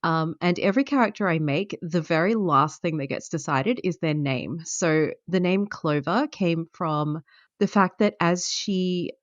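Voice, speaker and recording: A woman with a very low voice talks through her answers in relaxed conversation, pausing often. The sound is dry and a little dull. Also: no room sound. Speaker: guest player. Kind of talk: casual interview answers.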